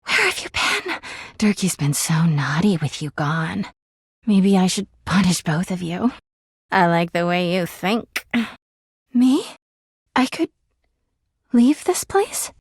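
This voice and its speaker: Video game role: lovesick female object come to life, needy, passive-aggressive, high-pitched with very animated swings. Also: dry recording, studio quality, exaggerated voice. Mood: gloating, possessive, then sulky, wounded. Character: clingy, volatile, lovesick female living laundry hamper. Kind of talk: video game character acting.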